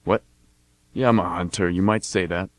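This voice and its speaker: A man's voice: sits low, fairly even intonation, moderate pace, no room sound, lightly acted. A man playing a laconic, slangy army veteran chatting as a cab passenger in an amateur radio drama. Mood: wistful, guarded. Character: gruff male army veteran cab passenger, guardedly chummy.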